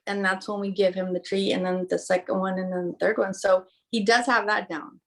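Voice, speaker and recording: A woman dog owner in a casual video call, calmly explaining her puppy's progress. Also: mid-range voice, fairly quick, creaky. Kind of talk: casual chat.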